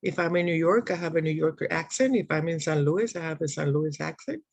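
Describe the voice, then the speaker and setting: Gravelly-voiced chatty woman, dry sound. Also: low voice, a little fast, darkish tone, no room sound. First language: Spanish. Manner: loose, chatty, with short broken phrases. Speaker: community worker. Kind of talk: introduction on a group video call. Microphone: video call.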